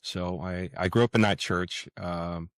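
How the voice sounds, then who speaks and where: Deep voiced guy getting interviewed, kinda hesitant, lots of pauses and repeats, really dry sound. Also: fairly even intonation, fairly bright tone, clear, resonant tone, no room sound. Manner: hesitant, halting, with frequent pauses and repeated words. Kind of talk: casual interview conversation.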